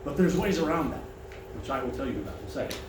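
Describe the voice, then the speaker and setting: A man with a big, carrying voice talks to a room of attendees in a friendly, easygoing way and keeps inviting their questions. He is picked up from well back in a roomy, echoing dining room. Steady background noise hangs under his speech. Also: mid-range voice, very fast. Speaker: financial seminar presenter. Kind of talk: presentation to a seated audience. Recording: echoey room, mic far off, noisy.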